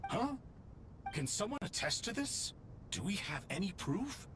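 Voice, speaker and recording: An actor playing a wry, mocking saint on a royal council in a video game, lofty and sardonic. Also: male voice, sits high, very animated, unhurried, noisy background. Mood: incredulous. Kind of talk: theatrical video game acting. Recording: clear game sound behind voice.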